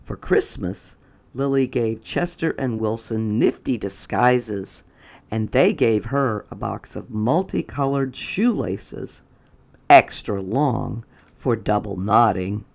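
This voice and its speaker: A man's voice: on the low side, lively intonation, takes it slowly, gravelly. A man reading a children's storybook aloud as a storytime host. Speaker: storytime reader.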